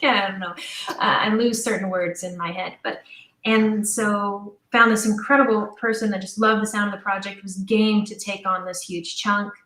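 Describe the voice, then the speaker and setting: A woman's voice: medium pitch, fairly even intonation, moderate pace, pushed and bright. A woman with a mid-range voice talks as a guest in an interview. She explains things in a chatty, informal manner, working out her thoughts aloud. The sound is noticeably roomy, with echo around her words. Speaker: audio drama writer and director, interview guest.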